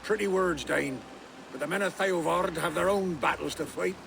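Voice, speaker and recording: An actor playing a bitter, stubborn older reeve of a Saxon village in a game, gruff and gravelly, with archaic formal speech. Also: male voice, high-pitched, moderate pace, background noise. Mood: bitter, distrustful.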